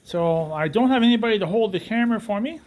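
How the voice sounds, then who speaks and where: A man's voice: high voice, animated, fast talker, darkish tone, poor audio. A middle-aged man, a sheep farmer, chatting casually and cracking folksy jokes while he works. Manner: joking, tells folksy one-liners. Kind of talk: casual chat and farm narration. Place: farm barn and fields. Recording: faint farm background noise.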